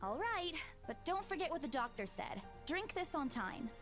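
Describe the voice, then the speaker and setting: A woman's voice: high voice, big pitch swings, moderate pace, a bit bright, soft background music, full character voice. An actress playing a caring, easily teased young working woman in a visual novel, speaking in a casual, slightly fussing way.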